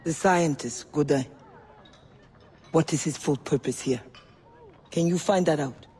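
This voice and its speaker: A man's voice: on the high side, a little slow, a little mellow, natural voice. A man playing a proud, agitated spymaster who fights for the freedom of slaves in a dramatic video game. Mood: defiant, determined.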